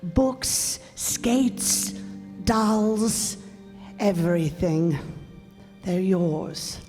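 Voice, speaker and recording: Woman playing a very slow-spoken wistful old widow. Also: medium pitch, expressive. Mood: resolute, giving away. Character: wistful old widow clinging to her past. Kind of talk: radio play acting. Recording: hall reverb, music bed.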